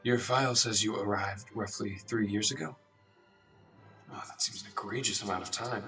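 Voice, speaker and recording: Man acting as earnest male doctor, low pitch, hesitant and apologetic, radio drama, music underneath. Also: moderate pace, pushed and bright. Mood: frustrated, pondering. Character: earnest, awkward male doctor gently questioning a withdrawn patient. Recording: distant mic, music underneath.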